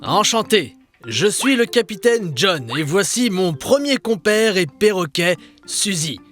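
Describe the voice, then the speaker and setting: An actor playing a spooky but welcoming ghost ship captain in a children's cartoon, speaking with theatrical hospitality. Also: male voice, high-pitched, very animated, measured pace, a bit bright, soft background music, full character voice. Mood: hospitable.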